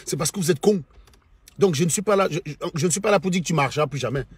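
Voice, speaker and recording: Middle-aged man, Cameroonian accent, slightly high husky voice, mocking livestream rant, repetitive emphasis, dry close sound. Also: fast, darkish tone, no echo. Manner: mocking, combative, repeats phrases for emphasis. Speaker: livestream host. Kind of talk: opinionated live monologue to online followers.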